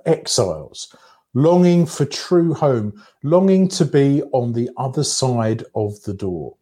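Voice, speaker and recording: A man giving a reflective sermon in a measured, contemplative way, breaking his thoughts into short phrases. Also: mid-range voice, dark tone. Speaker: preacher.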